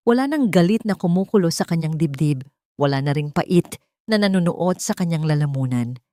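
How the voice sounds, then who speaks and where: A woman's voice: sits low, animated, fairly quick, warm tone, no room sound, studio quality. A woman reading a dramatic audiobook story as a scripted narrator.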